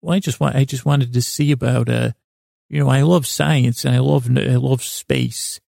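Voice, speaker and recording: A man voicing an earnest, polite little boy who loves science, pleading in a halting, naive way. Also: mid-range voice, gravelly, warm tone, dry recording, studio quality.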